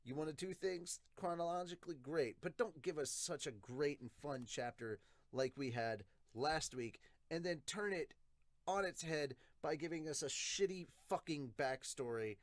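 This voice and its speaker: Guy doing a casual review podcast, blunt and sweary, animated, really dry close sound. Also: on the high side, no echo. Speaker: host of a manga review podcast. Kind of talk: casual reaction and review commentary.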